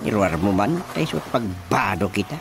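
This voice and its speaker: A man's voice: lowish voice, animated, normal speed. An actor with an Ilocano accent playing a scheming village chairman in a radio drama comedy. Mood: bossy. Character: village chairman, lively scheming male official. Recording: music behind the dialogue.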